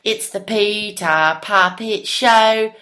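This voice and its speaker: A woman's voice: mid-range voice, takes it slowly, sharp tone. A woman announcing a comic puppet show in a theatrical intro style.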